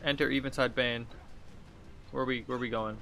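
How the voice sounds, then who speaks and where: A man's voice: medium pitch, steady tone, moderate pace, vocal fry, sharp tone, a little hiss or hum. A man in his thirties giving casual video game commentary as a streamer, thinking aloud in an informal way. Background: game music.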